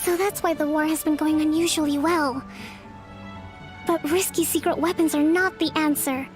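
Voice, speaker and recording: A woman plays a young female resistance leader in a game, composed and decisive. Her voice is extremely high yet formal and measured in its authority, with faint music underneath. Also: steady tone, natural voice. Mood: grave, decisive. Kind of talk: video game voice acting.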